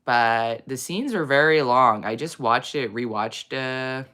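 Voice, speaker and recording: A woman hosting a casual chat, rambling with many fillers, in a low morning voice. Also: a little slow, a bit bright. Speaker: host. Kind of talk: casual chat reading viewer comments.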